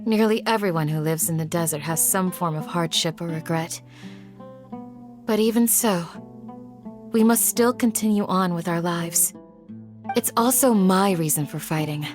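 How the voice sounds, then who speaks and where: A woman voicing a composed, gentle and resolute village guardian in a video game, with measured delivery. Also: lowish voice, soft background music, lightly acted.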